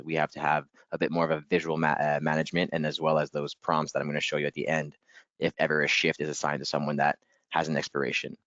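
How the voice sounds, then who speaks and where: A man leading a software training webinar in a casual, upbeat way, a little hoarse and clearing his throat. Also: extremely low pitch, no echo, muffled recording. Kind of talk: webinar screen-share walkthrough.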